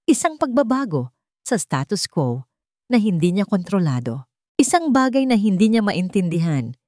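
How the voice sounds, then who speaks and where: Woman storyteller, low and animated, dry close sound, dramatic audiobook read. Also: dry recording.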